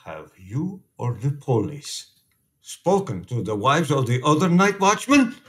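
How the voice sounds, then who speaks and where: A compassionate Polish immigrant priest in a radio play. He is a man of middling pitch who speaks formally and earnestly, pleading for others and refusing to back down. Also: big pitch swings, a little slow, a bit bright. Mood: indignant, sorrowful.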